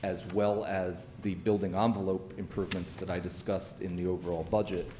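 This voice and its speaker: A middle-aged man speaks into a podium microphone in a town hall meeting room, his voice very deep and level. He explains plans in a measured way, pausing with fillers, over a faint room hum. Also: little pitch movement. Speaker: architect presenting library project. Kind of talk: public meeting presentation.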